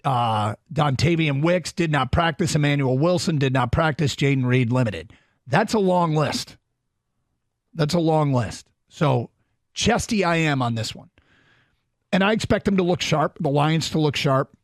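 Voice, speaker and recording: A man co-hosting a sports radio show, chatting casually and rattling off injury reports briskly. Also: fairly high pitch, lively intonation, no echo, clean studio sound. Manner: brisk, reads lists quickly. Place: radio studio.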